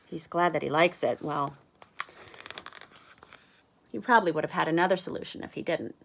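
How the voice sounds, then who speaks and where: A woman's voice: low-pitched, normal speed, creaky, a bit bright, slight background noise. A woman reading a picture book aloud to young listeners, adding chatty asides, questions and exclamations. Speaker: read-aloud storyteller.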